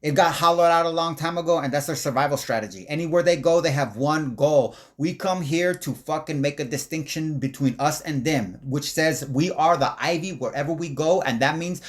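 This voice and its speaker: A man's voice: fairly high pitch, normal speed, hardly any pauses. A man hosting a rambling video commentary who talks in a profane, aggressive way. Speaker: commentary host.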